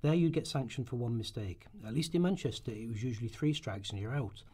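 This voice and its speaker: A man's voice: medium pitch, animated, brisk, mellow, slightly rough. A man reading scripted narration aloud for a radio play.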